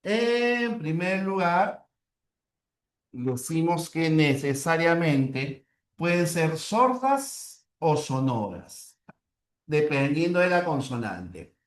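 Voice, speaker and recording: Slow, lively Peruvian man teaching. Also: fairly high pitch. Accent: Peruvian Spanish. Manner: repeats key terms and checks with "¿ya?" and "¿no?". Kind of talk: lecturing a class. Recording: roomy echo.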